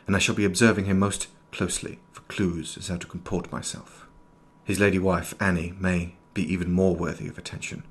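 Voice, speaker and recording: A man playing an erudite, formal nineteenth-century doctor in an audio drama, speaking in precise, ornate sentences with a husky voice. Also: sits low. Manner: precise, ornate, old-fashioned diction. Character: erudite, formal 19th-century doctor, inwardly fearful, sharp-eyed. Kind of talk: scripted audio drama, journal narration and dialogue.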